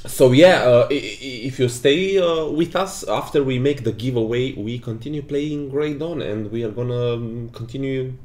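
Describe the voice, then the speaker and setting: A man's voice: medium pitch, normal speed, few pauses, a little mellow. A young man whose first language is not English, hosting a casual livestream and reading the chat aloud with lots of laughing. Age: 20s. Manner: giggly, reads chat aloud, frequent laughs. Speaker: game developer hosting a stream. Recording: roomy echo.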